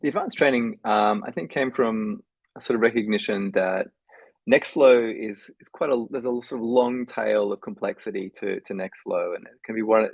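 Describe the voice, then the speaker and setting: A man's voice: on the low side, normal speed, gravelly, breathy edge, dry recording. A man speaking as a podcast guest, thoughtful and restarting his sentences as he goes. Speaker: guest developer. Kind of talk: casual podcast discussion. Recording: dull, dry lo-fi mic.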